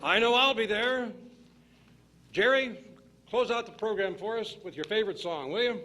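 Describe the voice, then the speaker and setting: A man's voice: sits high, moderate pace, sharp tone. A man announcing in the style of a 1940s live radio show.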